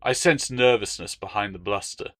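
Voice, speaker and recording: A man's voice: lowish voice, moderate pace, fairly bright tone, dry recording. A man reading scripted audiobook narration as a storyteller. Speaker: author reading own novel as narrator.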